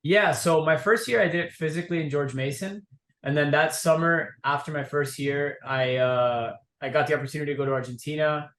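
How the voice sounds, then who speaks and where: A young man from Miami, a guest entrepreneur, telling his story in a relaxed, easygoing way. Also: on the high side, a little fast, echoey room. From Miami, USA. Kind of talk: casual interview.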